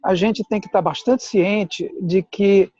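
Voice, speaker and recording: A man explains things in a steady, deliberate way as a guest adviser. His voice is high yet mellow in tone. The audio is dry and dull, with the muffled, lo-fi sound of a video call. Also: no echo. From Pernambuco, Brazil. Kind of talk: explanatory chat.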